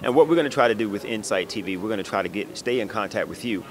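A man's voice: on the low side, lively intonation, quick pace. A middle-aged man asking questions in a casual on-location interview with a handheld microphone. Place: indoor art expo in a convention center. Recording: noisy exhibition hall background. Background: busy hall noise.

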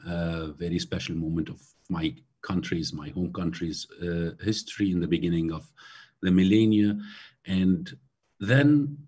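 Keynote speaker, man, 40s, from Syria: unhurried, halting delivery, somewhat low and husky. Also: darkish tone. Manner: slow, thoughtful, many hesitations. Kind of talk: lecture over video call. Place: room at home by a glass door. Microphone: laptop webcam on video call.

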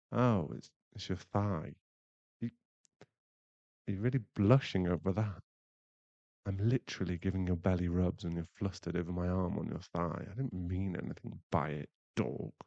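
A young man playing a teasing, bashful bad-boy werewolf boyfriend in an intimate ASMR roleplay, halting and murmured. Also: low voice, creaky, warm tone, dry recording, dull audio. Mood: flustered. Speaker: voice actor in an audio roleplay.

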